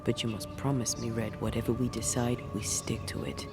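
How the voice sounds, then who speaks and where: An actress playing a ghost woman detached from life yet devoted to her lover, speaking in a measured, hushed, solemn way in video game dialogue. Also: very deep voice, little pitch movement. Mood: resolute, searching. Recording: music under the voice.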